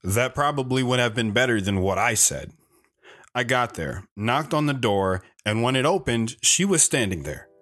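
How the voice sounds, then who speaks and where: A man's voice: medium pitch, animated, moderate pace, a bit bright. Man playing an earnest young narrator. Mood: reflective. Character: Travis, earnest awkward teenage boy telling his story. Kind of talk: first-person dramatic narration with acted dialogue.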